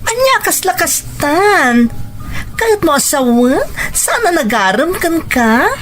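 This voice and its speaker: A woman's voice: extremely high pitch, very animated, slow, a bit bright, soft background music, exaggerated voice. A woman playing a blunt, protective young friend in a scripted audio drama. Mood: skeptical, warning.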